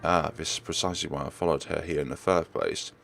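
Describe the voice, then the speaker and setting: A man's voice: very deep voice, steady tone, fast, vocal fry, a bit bright. A man doing a let's play, reading a visual novel aloud and stumbling over words before correcting himself. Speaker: let's player.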